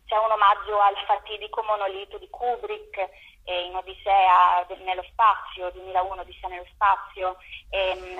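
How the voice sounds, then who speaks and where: A woman interviewed over the phone as a theatre director, explaining her work in a thoughtful, halting way with drawn-out pauses. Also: medium pitch, a bit bright, slightly rough. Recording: muffled, band-limited phone line.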